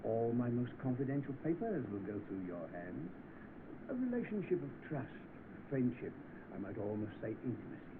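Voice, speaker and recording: A suave employer in a vintage film, formal and insinuating. His pitch rises and dips a lot, through a thin, noisy soundtrack. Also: big pitch swings, phone-quality sound. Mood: suggestive. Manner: insinuating, formal, hesitant pauses. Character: suave, insinuating male boss interviewing a typist.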